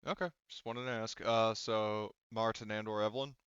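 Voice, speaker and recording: A man casually running an improvised tabletop game as game master, halting and rambling as he thinks aloud. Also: lowish voice, fairly even intonation, fairly bright tone, clear, resonant tone, no room sound, dull audio.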